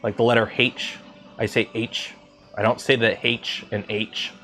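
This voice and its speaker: A man with a light Southern Ontario Canadian accent chats loosely on a livestream, wandering off-topic with lots of fillers and restarts. His voice sits in an ordinary middle pitch. Soft music plays faintly behind him. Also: level tone, measured pace. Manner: rambling, chatty, many fillers and restarts. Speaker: 3D printing livestreamer. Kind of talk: casual livestream chat.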